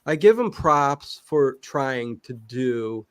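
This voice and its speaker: A man's voice: mid-range voice, expressive, slow pace, no room sound. A man talking casually as a guest on a livestream interview, rambling and restarting his sentences. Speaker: guest, online old-time radio channel creator.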